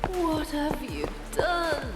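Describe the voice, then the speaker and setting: A woman's voice: sits high, big pitch swings, extremely slow, music in the background, heavily acted. A woman voicing an ancient, vain, menacing female Gorgon in an ASMR fantasy audio drama, with theatrical, archaic phrasing. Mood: anguished. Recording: cavernous echo, long reverb, noisy ambience.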